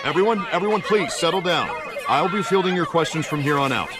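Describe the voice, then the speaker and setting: An actor in a video game playing an assured, controlling politician, speaking publicly with polished authority. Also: male voice, on the high side, expressive, brisk, lightly acted. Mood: firm. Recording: crowd and music behind voice.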